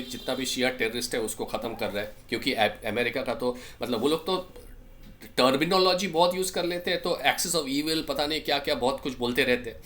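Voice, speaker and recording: A man's voice: medium pitch, a bit bright. A man with an Indian accent giving an informal explanatory monologue as a commentator, emphatic, with repeated phrases and rhetorical questions. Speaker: political commentator presenting a video. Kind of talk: informal explanatory monologue mixing Hindi and English.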